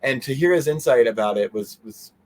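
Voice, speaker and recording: A man giving a casual interview as a guest, animated and meandering, often trailing off mid-sentence. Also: medium pitch, a little fast. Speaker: interview guest, fan convention organizer. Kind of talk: casual rambling interview chat.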